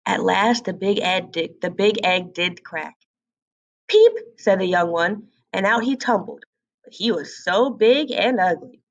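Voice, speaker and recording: A woman's voice: medium pitch, unhurried, gravelly, lo-fi sound. A woman storyteller expressively narrating a scripted children's story.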